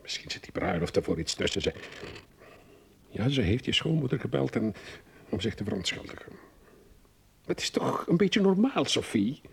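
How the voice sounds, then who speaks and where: A man's voice: mid-range voice, big pitch swings, moderate pace, gravelly, long pauses, breathy edge, heavily acted. A man acting in a Flemish radio drama as a middle-aged father who is defensive and protective of his wife. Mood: soothing, evasive.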